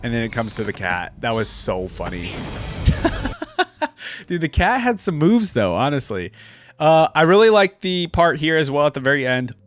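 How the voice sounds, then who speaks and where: A man reacting to videos as a host, effusive and slangy, thinking out loud in casual commentary. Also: fairly high pitch, big pitch swings, normal speed. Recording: game audio and music behind.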